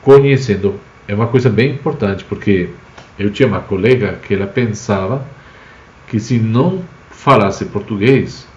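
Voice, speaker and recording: Male language teacher, Spanish accent, native Spanish speaker, lowish voice, explanatory and informal, dull livestream sound. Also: normal speed, a little mellow, reverberant, a little hiss or hum. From Spain, Basque Country. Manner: explanatory, spells out words with examples. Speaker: Spanish teacher, host of a live class. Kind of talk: informal live-streamed language lesson.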